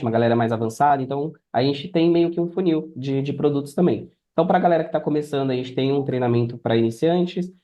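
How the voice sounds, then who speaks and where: A man's voice: medium pitch, dark tone. A Brazilian man speaking as a podcast guest, explaining things conversationally like a mentor.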